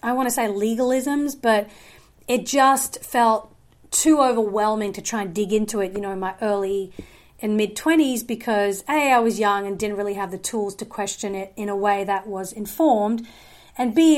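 Woman, thirties, fairly high pitch, earnest, rambling, podcast guest. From Australia. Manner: earnest, rambling with many fillers. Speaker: guest filmmaker. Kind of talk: podcast interview.

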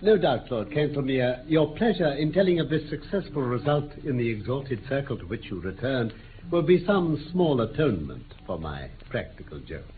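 An actor playing an impish, self-assured British detective in a 1950s radio drama, with courteous, theatrical, mock-deferential manners. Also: male voice, mid-range voice, sing-song, normal speed, natural voice. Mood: playfully apologetic. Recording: muffled, noisy 1950s radio recording.

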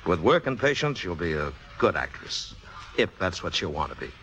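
Frank, bright, low-voiced man. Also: very animated, moderate pace. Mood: encouraging. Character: frank, gently amused male theater director. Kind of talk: 1950s radio drama acting.

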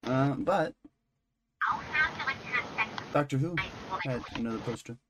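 Male podcast co-host, irreverent off-the-cuff chat with casual swearing, mid-pitched, noticeable background noise. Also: slow. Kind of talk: casual chat about entertainment news.

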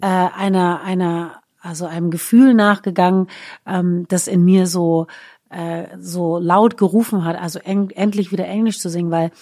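A woman's voice: on the low side, fairly even intonation, moderate pace, mellow, no echo, studio quality. A middle-aged woman being interviewed in a living room, talking thoughtfully with many fillers and restarts. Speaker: guest singer. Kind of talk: casual interview chat.

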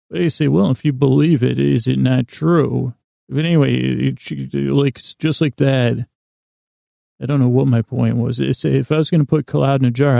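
A man hosting a podcast, rambling through a bedtime story monologue in a gravelly voice, halting and stumbling over his words as he meanders. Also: medium pitch, mellow, no echo, clean studio sound. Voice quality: gravelly, creaky voice. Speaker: podcast host.

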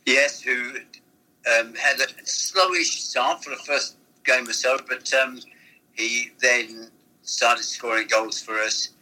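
An older man being interviewed, with a very bright tone and a husky edge to his voice. He reminisces in long, rambling anecdotes. He talks like a former football manager looking back. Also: mid-range voice, fairly even intonation, slow pace, rough recording.

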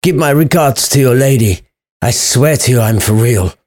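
A man's voice: medium pitch, normal speed, darkish tone, pitched above the performer's own voice, natural voice. A man voicing an earnest, lovestruck young man in a storybook retelling. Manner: earnest, eager.

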